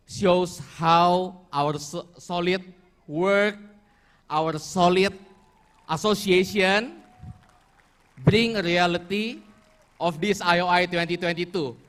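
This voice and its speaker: A man giving a formal closing speech as event organizer, halting at times and correcting himself as he goes. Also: high voice, a bit bright. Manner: halting, with restarts and self-corrections.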